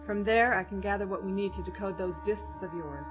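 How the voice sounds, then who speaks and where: Woman playing a guarded rebel leader, mid-pitched. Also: fairly quick, lightly acted. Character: guarded, resolute female rebel leader and programmer. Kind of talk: audio drama acting. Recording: loud music under the dialogue.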